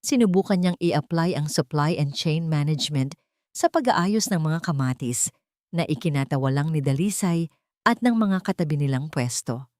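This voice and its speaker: Low-voiced woman narrating a story. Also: animated, normal speed, darkish tone, no room sound, clean studio sound. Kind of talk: scripted narration of a sentimental Tagalog love story.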